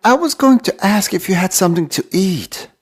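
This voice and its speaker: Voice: mid-range voice, animated, moderate pace, slightly rough. A performer voicing a hungry, lazy young boy in a storybook, plaintive and eager. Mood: hesitant.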